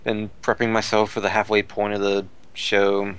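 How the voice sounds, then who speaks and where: Male American co-host with a fairly low voice, informal conversational delivery. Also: monotone, moderate pace, fairly bright tone, clear, resonant tone. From United States. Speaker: podcast co-host. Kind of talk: casual chat.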